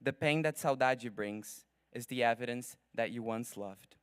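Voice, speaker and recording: A young man whose first language is Portuguese, giving an earnest, formal graduation speech from a podium at a ceremony, with measured pacing and dramatic pauses. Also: mid-range voice, clear, resonant tone, no echo, studio quality. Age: young adult. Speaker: graduating student speaker. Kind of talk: prepared commencement speech.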